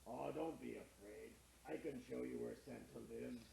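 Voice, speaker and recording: A man voicing a gruff but friendly pirate who growls his lines in a low rasp. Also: normal speed, dark tone, echoey room, low-quality recording. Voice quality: gravelly.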